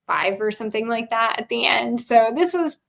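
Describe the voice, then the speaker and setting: A woman with a high-pitched voice who talks in a loose, reflective way. She thinks aloud about a movie and fills her pauses with "you know" and "um.". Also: expressive, gravelly, fairly bright tone. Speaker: podcast co-host. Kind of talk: casual chat about a film and tarot.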